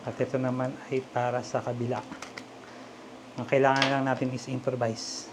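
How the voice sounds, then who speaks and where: A Filipino man with a mid-pitched voice walks through a hands-on task step by step. He talks informally, in short casual remarks as he works, with clear ambient noise around him. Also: flat delivery, normal speed. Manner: informal, talking along while working with his hands. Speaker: vlogger giving a DIY demonstration. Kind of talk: casual step-by-step tutorial talk.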